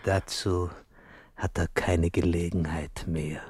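An actor playing a tough, commanding, menacing gangster in a 1960s German comic crime radio play. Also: male voice, low voice, fairly even intonation, slow, darkish tone, slightly rough, no room sound, heavily acted. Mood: cold threat. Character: tough, threatening male gangster.

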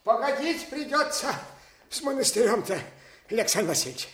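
A man's voice: very high voice, animated, normal speed, fairly bright tone. An actor playing a plain-spoken, devoted male orderly who chides his old master in a folksy, familiar way, in a radio drama. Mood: respectful. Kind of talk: Soviet radio drama acting.

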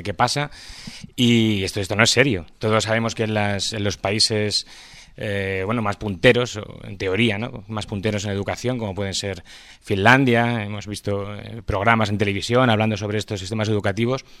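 A man's voice: fairly low pitch, fairly bright tone, dry recording, clean studio sound. A man with a Madrid Spanish accent, a schoolteacher speaking off the cuff at a public debate, feeling his way through his points with frequent tag questions. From Madrid, Spain. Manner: hesitant, searching for words, frequent tag questions. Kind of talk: impromptu speech at a public debate.

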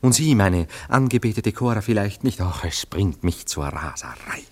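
An actor playing a jealous, cynical gentleman lover in a literary radio play, speaking in theatrical, eloquent musings. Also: on the low side, expressive, moderate pace. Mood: anguished, passionate. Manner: theatrical, eloquent, musing monologues with emphatic swings.

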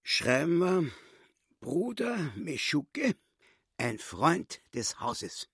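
Radio play: sly, mocking Austrian crook, swooping delivery at a drawn-out pace, theatrical and gloating. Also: male voice, on the high side, big pitch swings, creaky, a bit bright, breathy edge, no echo, studio quality, heavily acted. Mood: sly, urgent. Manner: theatrical, gloating, mock-courteous. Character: sly, boastful, mocking small-time swindler and chess player, male.

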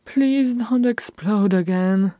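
Anxious, exasperated dwarf, acted by a narrator: high, pleading, hissing and moaning. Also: slow pace, dark tone, no room sound, clean studio sound, close to an everyday voice. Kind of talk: fan fiction audiobook character acting.

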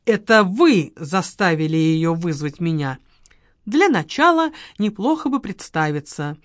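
A woman's voice: fairly low pitch, sing-song, a little slow, dry recording. Brief: woman doing a surly, scheming man, hissing and snapping, audiobook, dry close sound. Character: man, sullen, hostile, scheming lover.

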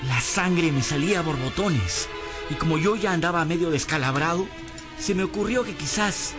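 A man's voice: on the high side, natural voice. An actor playing a battered, hapless young servant rogue who tells his own story wryly in a radio drama. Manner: wry storytelling, whimpering and stammering when cornered. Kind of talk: dramatised first-person narration in radio drama.

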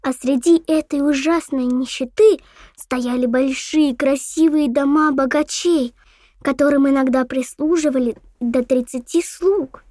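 A woman's voice: high-pitched, fairly even intonation, unhurried, mellow, dry recording, studio quality. An actress playing an earnest, girlish woman reciting propaganda history didactically in an audio drama. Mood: earnest, grave.

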